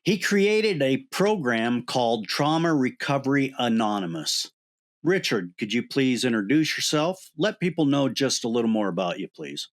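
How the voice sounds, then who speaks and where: A man's voice: mid-range voice, sing-song, moderate pace. A man hosting a podcast interview, introducing his guest politely and relating to his story. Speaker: podcast host.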